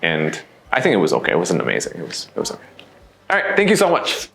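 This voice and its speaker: A young man from Nepal with a baritone voice, a voice actor answering interview questions in a relaxed, wry way. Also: fast talker, vocal fry, heavy background noise. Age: young adult.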